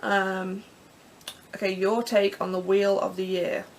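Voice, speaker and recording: A young Englishwoman with a croaky throat, rambling casually through vlog questions from her bedroom with plenty of ums and restarts. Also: medium pitch, level tone, slow pace, noisy background. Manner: chatty, self-deprecating, many ums and restarts. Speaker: pagan vlogger. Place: bedroom in front of her altar.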